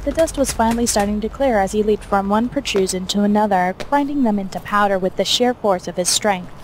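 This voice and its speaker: A woman's voice: mid-range voice, brisk, a little hiss or hum. A woman reading scripted fiction aloud as the narrator of an action fantasy story.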